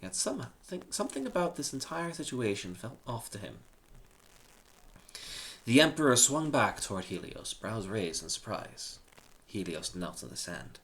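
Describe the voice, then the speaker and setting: A man's voice: lowish voice, big pitch swings, moderate pace, fairly bright tone, rough recording. A man reading a humorous short story aloud in a dramatic scripted narration. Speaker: story reader.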